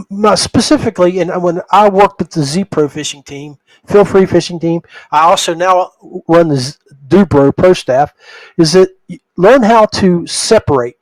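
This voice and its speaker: A man's voice: high voice, dry recording, poor audio. An older man hosting a podcast in a casual, folksy way, talking straight to his listeners. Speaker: podcast host.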